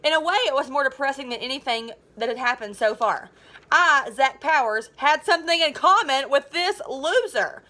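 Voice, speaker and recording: A woman reading a children's novel aloud as a teacher, in an animated, dramatic and informal way. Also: high voice, normal speed. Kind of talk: expressive children's book read-aloud.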